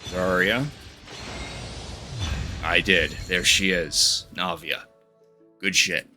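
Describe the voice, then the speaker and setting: A man giving casual livestream game commentary, informal and a bit halting as he talks. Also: low voice, fairly even intonation. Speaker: game streamer. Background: game music.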